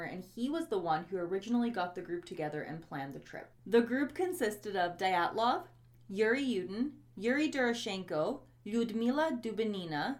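A woman originally from Bosnia, whose first language is not English, co-hosting a casual mystery podcast in a chatty, enthusiastic way. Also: medium pitch. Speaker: podcast co-host. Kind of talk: casual chat.

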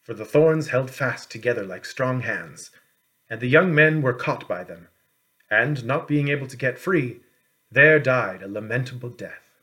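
A man narrating a storybook as an audiobook reader, in a measured, expressive storytelling manner. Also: mid-range voice.

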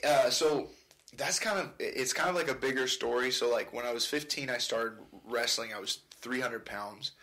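An American man in his twenties chatting casually as a podcast guest, in a halting, rambling, slangy way. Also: medium pitch, pushed and bright. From United States, California. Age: 20s. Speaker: podcast guest, amateur fighter. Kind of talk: casual chat.

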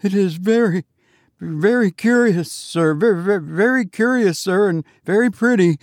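A man's voice: high-pitched, moderate pace, no echo, studio quality, voice pushed up. A man voicing a terrified, meek gravedigger who stammers deferentially in a storybook reading. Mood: nervous.

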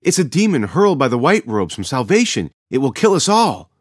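A man's voice: mid-range voice, big pitch swings, fairly quick, slightly rough, no echo, clean studio sound, voice pushed up. A man voicing a frightened, superstitious village man. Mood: alarmed.

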